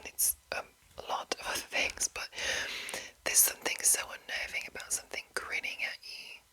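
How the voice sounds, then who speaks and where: A woman hosting an ASMR reading, whispering in a hushed, deliberate way and lingering over short phrases. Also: low-quality recording. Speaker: ASMR host. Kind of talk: whispered ASMR reading of a game manual with casual commentary.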